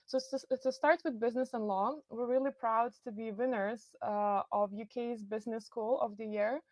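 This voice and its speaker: A woman's voice: fairly high pitch, steady tone, normal speed, no echo. Lithuanian-accented woman presenting a webinar. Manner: friendly, informative, with frequent fillers and restarts. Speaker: university recruitment coordinator. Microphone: computer mic on a video call.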